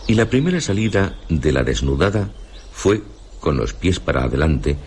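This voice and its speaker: A man's voice: deep voice, sing-song, normal speed, a little mellow, a little hiss or hum. An actor from a radio drama playing a grave older male storyteller with a Spain accent, telling a tragic village tale in a solemn, literary manner. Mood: solemn, sorrowful.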